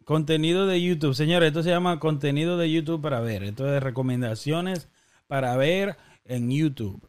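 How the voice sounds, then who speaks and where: A man with a Dominican Spanish accent hosts a casual podcast, joking and teasing his co-host as he talks. His voice sits on the higher side for a man. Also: normal speed, clean tone. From Dominican Republic.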